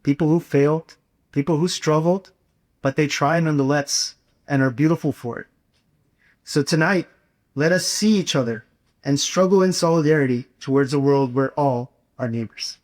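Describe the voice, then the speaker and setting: A young man delivering a prepared, inspirational speech as a student speaker, with earnest, measured, rhetorical phrasing. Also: on the high side. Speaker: student speaker at a campus gathering.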